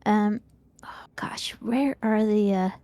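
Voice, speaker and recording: A woman's voice: mid-range voice, takes it slowly, dark tone, dry recording. A woman chatting casually as she plays a video game as co-host of a livestream. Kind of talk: casual chat while playing a video game.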